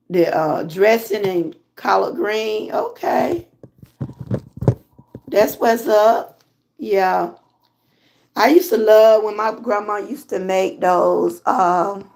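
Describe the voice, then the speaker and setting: A Southern woman from Georgia with a hoarse voice, chatting casually with viewers on a live stream from home. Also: mid-range voice, slight background noise. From Georgia, USA. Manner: relaxed, chatty, greets viewers often. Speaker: lifestyle vlogger and channel host.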